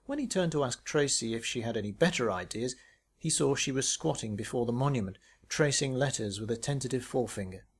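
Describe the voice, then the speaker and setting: A man's voice: mid-range voice, animated, brisk, breathy edge. A man reading his own short story as a scripted narration.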